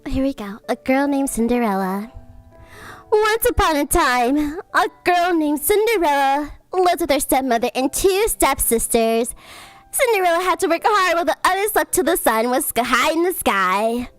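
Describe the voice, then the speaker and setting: A woman's voice: high voice, animated, moderate pace, dry recording, soft background music. A woman livestreamer with a cutesy, gushing way of talking, chatting to viewers and reading bedtime stories. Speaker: streamer, host.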